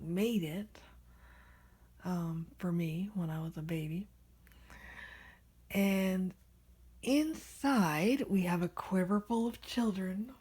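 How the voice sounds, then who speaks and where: Raspy woman rambling on a vlog, stuffy and hoarse from a cold, slow and mellow, lots of whispery bits. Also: mid-range voice. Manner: soft-spoken, often drops to a whisper. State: recovering from a cold, nasal and hoarse. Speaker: vlogger showing her doll collection. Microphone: handheld camera without tripod.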